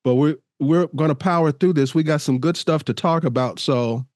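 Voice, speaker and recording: A man's voice: a little high, moderate pace, a little mellow, dry recording, studio quality. A man co-hosting a casual podcast, a little congested, laughing often and reading an article aloud. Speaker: podcast co-host. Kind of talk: casual podcast chat over video call.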